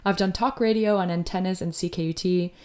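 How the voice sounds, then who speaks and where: A woman's voice: fairly low pitch, fairly even intonation, a little fast, darkish tone. A woman from Canada, a radio co-host, reading a scripted introduction and narration.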